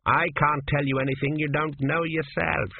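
A man's voice: fairly high pitch, little pitch movement, moderate pace, gravelly, breathy edge, pitched above the performer's own voice. A man voicing a crude, cheeky old male ancestor who was a swindler, with a blunt, sneering manner. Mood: offhand. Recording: tinny phone-like sound, faint hiss.

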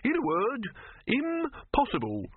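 A man's voice: a little high, animated, brisk, breathy edge, no echo, pitched above the performer's own voice. A man voicing a stiff, fussy alchemist businessman in an audiobook, spluttering with indignation. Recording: narrow phone-like radio sound.

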